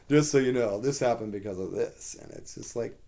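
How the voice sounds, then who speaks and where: A man with a hoarse voice giving a rambling, candid spoken TV review, with plenty of fillers and the odd curse. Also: mid-range voice, moderate pace, warm tone, a little hiss or hum.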